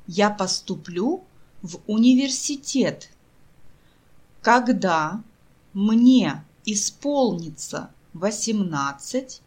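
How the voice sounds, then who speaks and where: A woman reading scripted example sentences as a language teacher, enunciating carefully phrase by phrase with deliberate pauses. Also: mid-range voice, slightly rough. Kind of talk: scripted language drill, each sentence read then repeated slowly.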